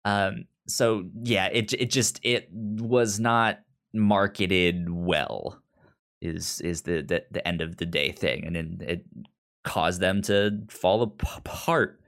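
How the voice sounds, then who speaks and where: Podcast co-host, male, very low voice, casual recap talk, frequent stammering. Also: steady tone, slow, fairly bright tone. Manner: stammers often, repeating first sounds.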